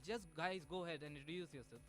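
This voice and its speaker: A man's voice: a little high, fast talker, soft background music. A young man, co-hosting a campus radio chat with loose, rambling questions. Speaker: radio co-host.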